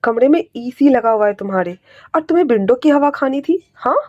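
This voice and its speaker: A woman playing a firm, caring older man who lowers her voice to scold and soothe. Close and dry, told as a story. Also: animated, fairly quick, no room sound, muffled recording. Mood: stern, soothing. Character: protective older man, stern but caring.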